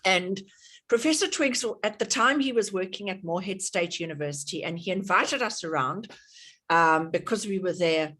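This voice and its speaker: A woman's voice: fairly low pitch, expressive, moderate pace, a bit bright. A middle-aged woman with a South African accent hosting an online workshop over a video call, chatty, with many fillers and restarts. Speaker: workshop host.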